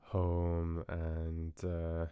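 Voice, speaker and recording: A man's voice: deep voice, monotone, very slow, smooth, no room sound, narrow, tinny audio. A man hosting a livestream, chatting casually with viewers in a friendly, hesitant way with trailing asides. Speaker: livestream host. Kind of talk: casual chat with live viewers.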